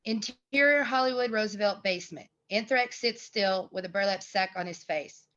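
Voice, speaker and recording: A woman's voice: mid-range voice, normal speed, a bit bright, highs cut off. A woman reading scripted screenplay narration and stage directions.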